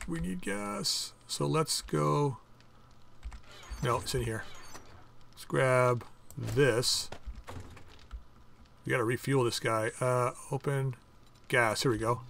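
A man hosting a casual game playthrough, chatting and thinking aloud as he crafts items, with his voice going a bit hoarse. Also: medium pitch, slow pace, pauses often, fairly bright tone, slight background noise. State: losing his voice. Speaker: gaming channel host. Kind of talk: casual let's-play commentary.

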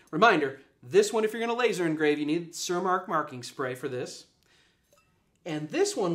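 A man, giving an upbeat live product demo in an office room, with many fillers and repeated points. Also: a little high. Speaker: product presenter. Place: office room with a display table.

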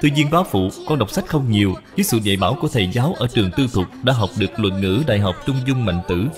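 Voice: mid-range voice, animated, quick pace, music in the background, lightly acted. An actor voicing a polite, eager six-year-old boy, respectful and childlike, in a cartoon. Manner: respectful, bright-eyed, childlike.

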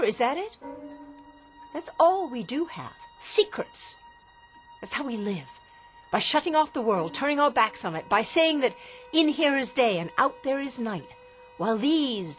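Rebellious daughter, high voice, bitter and theatrical, radio drama with music beneath. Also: female voice, fairly bright tone, exaggerated voice. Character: rebellious, frustrated grown daughter of a wealthy inventor.

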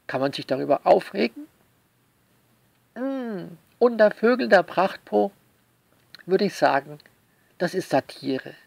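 A man delivering a sarcastic spoken essay to listeners, theatrical and mock-indignant, leaning on words with drawn-out emphasis. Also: high voice, lively intonation, gravelly, no room sound. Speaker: author commenting on their own novel.

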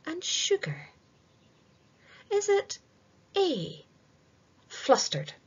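Middle-aged female quiz reader for children: very slow, sing-song delivery, lively pitch, slightly muffled webcam sound. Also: husky. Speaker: quiz presenter reading aloud. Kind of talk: read-aloud multiple-choice quiz for children. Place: small room indoors.

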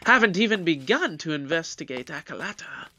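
Brisk, authoritative space detective in an audio drama. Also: medium pitch, animated, pushed and bright. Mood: brisk, probing.

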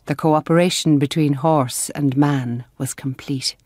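A composed Mother Superior narrating a radio play. Her voice is low for a woman, and she unfolds detailed description in a measured, observant way. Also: fairly even intonation, a little mellow, no echo, studio quality. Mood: composed, descriptive. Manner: measured, literary storytelling. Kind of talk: scripted radio drama narration.

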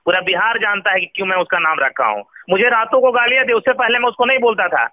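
A man's voice: sits high, fast talker. A man with a Bihari accent arguing his case respectfully in a recorded phone conversation. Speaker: phone interviewee. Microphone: telephone. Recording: tinny, band-limited phone call.

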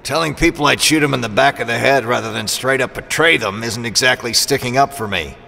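A gravelly, sardonic assassin. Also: male voice, mid-range voice, fairly quick, sharp tone, long reverb tail, slight background noise. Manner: sarcastic, teasing. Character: sardonic, roguish male assassin mercenary, mock-wounded and teasing. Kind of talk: audio drama acting.

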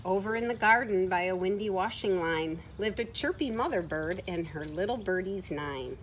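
A middle-aged woman reading a picture book aloud to children in an expressive way, recorded outdoors. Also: mid-range voice, moderate pace. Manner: expressive, acting out short quoted words. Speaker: children's story time reader. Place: outdoors on a farm, beside horses. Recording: outdoor ambient noise from camera mic.

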